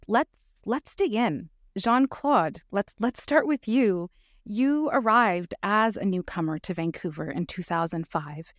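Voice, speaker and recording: Woman podcast host, measured and formal, lowish voice, dry mic. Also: dry recording. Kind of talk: scripted podcast introduction and moderating. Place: live panel event.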